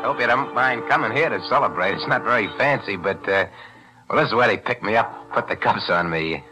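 An actor playing a tough young ex-convict, curt and slangy, in 1940s radio-drama style. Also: male voice, lowish voice, a little fast, loud music behind the voice, natural voice, rough recording. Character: cocky young male ex-convict, hard-edged.

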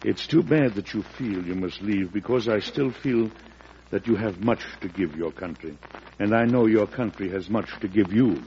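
An actor playing a principled, steady President in a classic radio drama, measured, earnest and formal. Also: male voice, on the low side, muffled recording, natural voice. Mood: firm, sympathetic. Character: principled, steady, dignified President of the United States. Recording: noisy, band-limited old radio recording.

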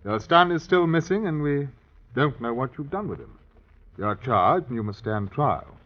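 Husky, animated British inspector, played by a man. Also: medium pitch, normal speed, natural voice. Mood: polite, firm. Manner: courteous, hesitant, proper old-chap phrasing. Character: polite, conscientious British colonial police inspector. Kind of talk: old-time radio drama acting. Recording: dull vintage radio recording.

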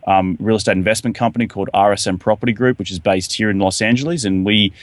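A man with an Australian accent talks fast and eagerly, tripping into restarts as he explains his work. His voice sits low, and the recording is dry and close with no room around it. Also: steady tone, no echo. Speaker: real estate investor guest. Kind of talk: podcast interview.

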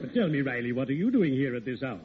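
An actor playing a genteel, morbidly cheerful undertaker with a pompous, florid delivery in old radio comedy. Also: male voice, mid-range voice, expressive, fast, phone-quality sound, close to an everyday voice. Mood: genteel, teasing. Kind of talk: 1940s American radio comedy acting. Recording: scratchy, very noisy old radio recording.

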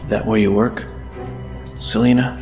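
An actor playing a grim masked vigilante detective in an audio drama, speaking in terse, clipped lines. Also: male voice, level tone, a little slow, lightly acted. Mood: terse, probing. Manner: low-key, terse, clipped questioning. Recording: loud electronic music behind the voice.

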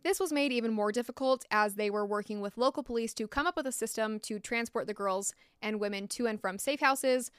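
A woman's voice: a little high, fairly quick, few pauses, clear, resonant tone, no echo. An American woman hosting a podcast, narrating a true story with blunt, opinionated asides from a close mic. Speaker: podcast host.

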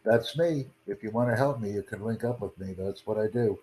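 A lower-voiced man chats over a video call, earnest and a little repetitive as he promotes his language course. Faint noise sits behind him. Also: brisk, mellow, rough recording. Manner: earnest, promotional, repeats himself. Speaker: language-learning channel host. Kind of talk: casual video chat with a stranger.

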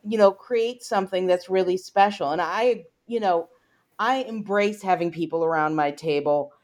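A woman's voice: fairly low pitch, normal speed, a little mellow. A woman interviewing an author, asking curious questions in a chatty way that is full of "you know" fillers. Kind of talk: interview conversation.

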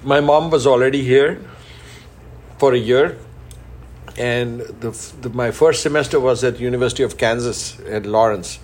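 A man's voice: mid-range voice, moderate pace, darkish tone. Man, middle-aged, from Pakistan and India, chef and restaurant owner, interview guest, enthusiastic. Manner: enthusiastic, repeats words while listing.

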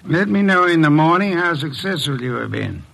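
A male actor playing a lofty, aloof detective in an old-time radio drama, pompous, formal and gravelly. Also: a little high, animated, normal speed, fairly bright tone. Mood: dismissive. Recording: hissy vintage radio recording.

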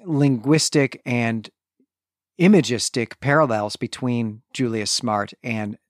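A man's voice: medium pitch, takes it slowly, dry recording, studio quality. A man co-hosting a literary podcast, explaining and analysing conversationally, thinking aloud in long, winding sentences. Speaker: co-host of literary discussion podcast.

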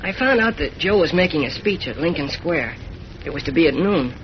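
An actor playing an eager, earnest young cub reporter in a folksy 1940s radio drama style. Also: male voice, fairly high pitch, expressive, a little fast, close to an everyday voice. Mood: earnest, recounting. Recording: muffled, noisy old radio recording.

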